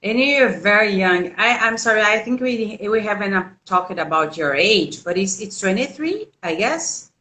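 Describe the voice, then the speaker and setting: A woman's voice: medium pitch, animated, moderate pace, hardly any pauses, bright, forceful tone. A woman with a Brazilian Portuguese accent, a native Portuguese speaker, hosting a casual interview. She laughs often and halts with fillers. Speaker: host, English teacher. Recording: echoey room.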